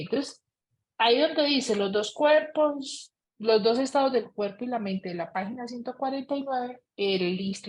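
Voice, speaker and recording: A woman facilitating an online reading club, calmly giving instructions for a writing exercise and encouraging participants. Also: a little high, slightly rough, rough recording. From Colombia. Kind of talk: guiding a group writing exercise.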